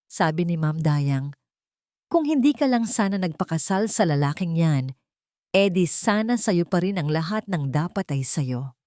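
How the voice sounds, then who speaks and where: A woman's voice: sits low, mellow, no room sound. A woman plays an old, loyal housemaid in an audio storybook. She is deferential and hesitant, confiding troubled secrets, with a dry, close sound.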